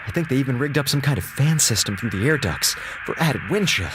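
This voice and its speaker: An American actor playing an aggrieved space station communications officer in a radio drama, with theatrical, sarcastic griping. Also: male voice, mid-range voice, expressive, fairly quick, noisy background, natural voice. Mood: wry. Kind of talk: radio drama acting, comic log monologue. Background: music.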